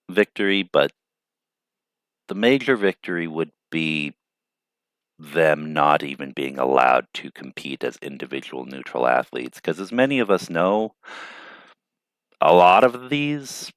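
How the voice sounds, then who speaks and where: A man's voice: low-pitched, clear, resonant tone, no room sound. A man hosting a news commentary show, reading reports and adding thoughtful, hesitant asides. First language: not Ukrainian.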